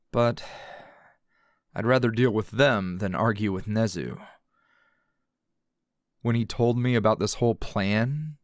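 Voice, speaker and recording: A man playing a weary, teasing male teacher hero in an intimate ASMR roleplay, with a low gravelly voice and lingering pauses. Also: no room sound, clean studio sound. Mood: dry, amused. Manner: slow, breathy murmuring with sighs and long pauses.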